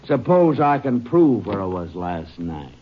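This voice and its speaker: A man acting a short-tempered, heavyset rancher under suspicion in a radio western, gruff, impatient and evasive. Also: on the low side, very animated, measured pace. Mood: guarded, defiant. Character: edgy, defiant fat male rancher, short-tempered suspect. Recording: muffled, hissy old-time radio recording.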